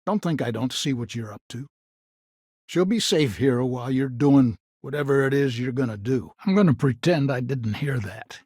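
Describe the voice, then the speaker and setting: An actor playing a gruff, proud, bitter old grandfather in a video game, curt and scornful, with a gravelly, husky voice. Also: medium pitch, animated, normal speed, a little mellow, no room sound, studio quality. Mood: proud, irritated. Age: older. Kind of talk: video game character dialogue.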